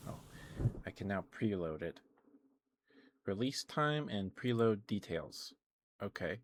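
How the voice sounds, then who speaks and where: Slow, low-voiced man chatting softly on stream. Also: big pitch swings, a little hiss or hum. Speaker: streamer, host of the show. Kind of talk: ASMR livestream, casual chat with viewers.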